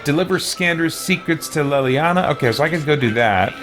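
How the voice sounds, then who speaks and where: A man's voice: fairly high pitch, expressive, brisk, music in the background. A man doing casual let's play commentary on a video game, muttering and grumbling wryly to himself as he plays. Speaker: video game streamer playing and reacting.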